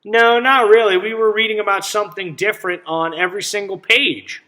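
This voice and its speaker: A man reading a picture book aloud to children as a teacher, pausing to ask simple questions and explain things. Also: sits high, fairly even intonation, bright, forceful tone. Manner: instructive, checks understanding with simple questions.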